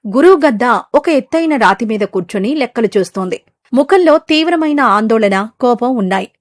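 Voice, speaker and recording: A woman's voice: a little high, expressive, fairly quick, no echo, clean studio sound. Woman narrating a storybook.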